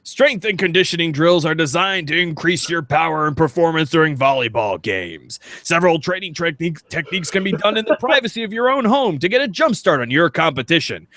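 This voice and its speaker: An American man speaks casually as a podcast co-host. His voice sits a little high, and his delivery is halting and slightly pedantic. The sound is very dry, free of room echo. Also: animated, sharp tone. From United States. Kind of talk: casual chat, reading text aloud.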